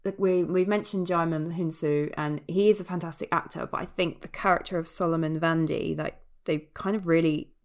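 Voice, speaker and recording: A woman's voice: sits low, fairly even intonation, fairly quick, hardly any pauses. Chatty woman reviewing films. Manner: chatty, opinionated, self-correcting. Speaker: film podcast host. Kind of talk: casual film review chat.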